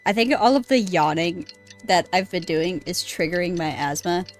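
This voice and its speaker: A woman's voice: fairly low pitch, moderate pace, faint music behind. A woman streaming a game live, making casual, wry, joking asides. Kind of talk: casual live gaming commentary.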